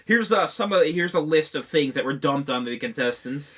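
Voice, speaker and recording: A man's voice: a little high, lively intonation, a little fast, a bit bright. A man co-hosting a comedy podcast in casual banter, riffing with crude jokes.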